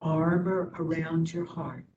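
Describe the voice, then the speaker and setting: Very slow-speaking woman guiding meditation. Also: fairly even intonation, darkish tone. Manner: slow, pausing between short phrases. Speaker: meditation and yoga instructor. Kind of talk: guided meditation. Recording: distant mic, dull, faint rumbling noise.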